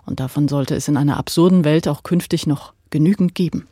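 A man's voice: a little high, brisk, warm tone, no echo, studio quality. A man presenting a scripted radio introduction.

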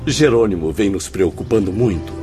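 An actor in a radio drama playing a formal, polite and concerned assistant to a director. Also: male voice, lowish voice, animated, normal speed, close to an everyday voice. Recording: music behind the voice.

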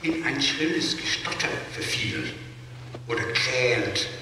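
A grave male reciter in a radio play, his voice ringing and piercing in a long reverberant hall. He sets out each phrase slowly and solemnly. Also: mid-range voice, expressive, bright, forceful tone. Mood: grave, deliberate. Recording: long echoing hall, distant, faint noise.

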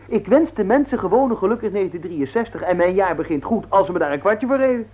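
A man's voice: sits high, expressive, fast, husky. A man playing a cheeky, scheming teenage son in a radio play, brash and glib. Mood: cocky. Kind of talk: vintage Dutch radio play acting. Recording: old narrow-band radio recording.

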